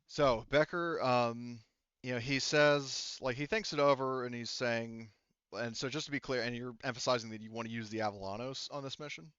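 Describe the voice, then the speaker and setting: Male game master, smooth dry voice, explains things casually and haltingly at length. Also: medium pitch, steady tone, fairly bright tone, dry recording, highs cut off. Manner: halting, explains in rambling detail. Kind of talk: casual tabletop game narration.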